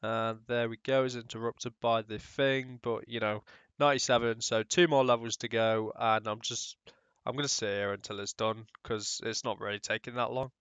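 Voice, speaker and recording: A man's voice: mid-range voice, steady tone, normal speed, fairly bright tone, clean tone, no echo. A young man with a cold, sounding nasal, giving rambling casual commentary over his game footage. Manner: rambling, many ums and restarts, swears casually. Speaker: gaming YouTuber.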